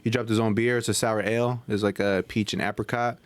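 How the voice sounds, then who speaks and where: Low-voiced, laid-back male podcast host. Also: level tone, a little fast, crowd noise. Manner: informal, slangy, repeats phrases. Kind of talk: casual podcast chat. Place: small room with couches, indoors.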